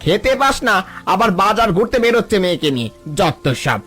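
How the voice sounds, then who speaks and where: Haughty cartoon village bully, played by a man, very high voice, boastful and scolding, music underneath. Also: sing-song, brisk, fairly bright tone. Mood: scornful, boastful. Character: arrogant rich village man, scornful bully. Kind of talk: cartoon voice acting.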